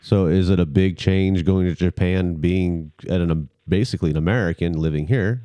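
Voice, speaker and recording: A man's voice: sits low, moderate pace, mellow, dry recording. A man hosting a casual beer-tasting podcast, easygoing and prompting his guests with questions. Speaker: podcast host.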